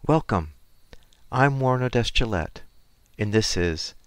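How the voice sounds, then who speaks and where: A man hosting a community radio interview show, reading a measured, scripted introduction before questioning his guest. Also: fairly low pitch, animated.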